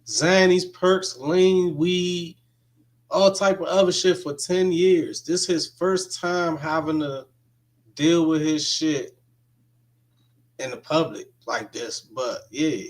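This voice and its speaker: Casting: male reaction streamer, slightly high voice, loose slangy commentary, repeats phrases. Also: fairly even intonation, takes it slowly. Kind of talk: casual running commentary.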